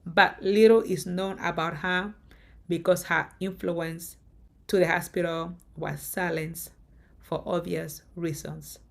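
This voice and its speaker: A woman's voice: on the low side, steady tone, slow, vocal fry, soft background music. A woman from Africa talking casually to camera as a vlogger. She repeats her points with firm emphasis.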